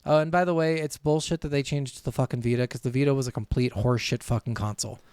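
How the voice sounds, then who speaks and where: A man's voice: mid-range voice, fairly even intonation, quick pace, a little mellow, clean tone, no echo. A man co-hosting a casual gaming podcast, talking bluntly and swearing freely, sometimes reading from notes. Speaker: podcast co-host.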